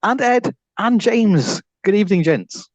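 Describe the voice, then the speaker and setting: A man's voice: sits high, lively intonation, unhurried, a little mellow, a little hiss or hum. A man hosting a podcast, chatting in an informal, welcoming introduction style on a slightly muffled recording. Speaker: podcast host. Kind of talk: lively podcast introduction chat.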